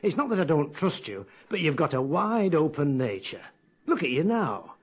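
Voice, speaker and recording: An actor playing a sly, cheerful middle-aged father in a radio drama, gruffly teasing and conspiratorial. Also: fairly high pitch, sing-song, normal speed, dull audio.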